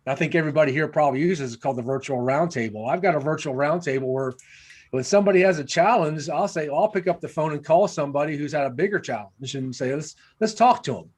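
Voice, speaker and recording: A man's voice: a little high, fast talker, darkish tone. A man speaking earnestly as a panelist on a video call, with frequent restarts. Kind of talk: panel discussion.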